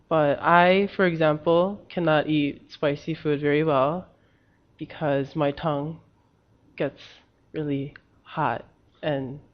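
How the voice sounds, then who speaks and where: A nineteen-year-old woman from Korea, a Korean speaker, answering questions in slow, careful English. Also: low-pitched, lo-fi sound. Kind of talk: unscripted answers for a learner video.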